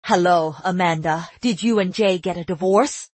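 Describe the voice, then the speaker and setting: A woman's voice: on the low side, moderate pace, no room sound, faint music behind, natural voice. A middle-aged actress playing an emotional mother who scolds indignantly.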